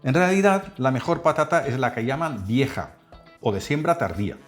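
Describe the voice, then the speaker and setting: A man from the Netherlands, hosting a cooking channel and calmly explaining a recipe step by step. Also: medium pitch, normal speed, faint music behind. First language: Dutch. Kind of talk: cooking tutorial voice-over.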